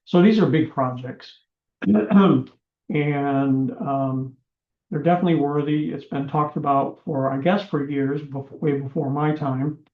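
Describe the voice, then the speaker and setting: A man runs a town commission meeting, his voice slightly higher than most and going hoarse. He speaks haltingly and fills his pauses with "uh" and "um". The room is echoey, and the recording sounds dull and lo-fi. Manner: halting, many fillers. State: losing his voice. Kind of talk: meeting proceedings.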